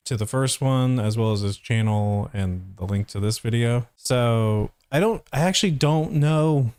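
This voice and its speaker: Clear-voiced man rambling through casual commentary. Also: mid-range voice, darkish tone, no echo. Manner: hesitant, rambling, thinking aloud. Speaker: voice actor reviewing a fandub.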